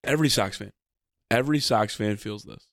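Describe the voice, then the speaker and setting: A man's voice: on the low side, fairly quick, fairly bright tone, dry recording, clean studio sound. An American man co-hosting a sports podcast in casual fan chat. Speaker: podcast co-host.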